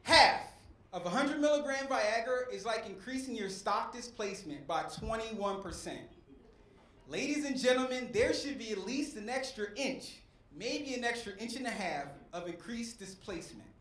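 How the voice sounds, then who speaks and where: High-voiced man doing stand-up in an echoey club. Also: animated, moderate pace, fairly bright tone. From West Philadelphia. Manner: preacher-like cadence with crowd work. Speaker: stand-up comedian. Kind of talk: stand-up set. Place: comedy club stage. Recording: echoey club with faint crowd noise.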